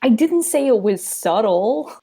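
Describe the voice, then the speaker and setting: Woman co-host, mellow tone, lively intonation, dry, mock-indignant, casual. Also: fairly high pitch, unhurried, gravelly, slightly rough. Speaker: co-host listening to a story. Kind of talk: casual chat, short reactions.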